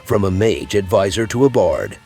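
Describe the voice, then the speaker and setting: A man roleplays a haughty elven agent in a fantasy game. The voice is low but moves animatedly, with curt, probing phrasing. Faint music plays behind it. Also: animated, normal speed, a little mellow. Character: haughty male Thalmor elf agent, disdainful of Imperials. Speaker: player narrating a roleplay playthrough.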